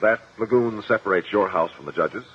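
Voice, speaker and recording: An actor playing a calm, methodical lawyer with a formal, probing manner in a 1950 radio courtroom drama. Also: male voice, on the low side, a little fast, natural voice. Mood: formal, measured. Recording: muffled, hissy old radio recording.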